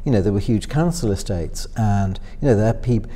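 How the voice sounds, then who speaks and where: A middle-aged man from Northwest England with a softened upper-middle-class accent, musing through interview answers with many fillers and restarts. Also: on the low side, normal speed. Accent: upper-middle-class Northwest English softened by London. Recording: voice with long hall reverb.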